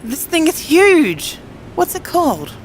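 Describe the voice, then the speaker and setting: A woman's voice: sits high, sing-song, takes it slowly, a little hiss or hum, exaggerated voice, poor audio. A woman playing a headstrong, impatient young survivor in an audio drama, curt and sarcastic. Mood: brash. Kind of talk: conversational audio drama acting.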